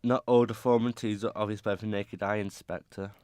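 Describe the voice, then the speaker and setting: A guarded, earnest young circus performer, acted by a man in a radio drama. The voice sits a little low and is everyday in tone. The recording is very dry. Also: flat delivery, fairly quick, darkish tone, no room sound, close to an everyday voice. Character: guarded young male circus singer with a painful secret. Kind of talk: amateur radio drama acting.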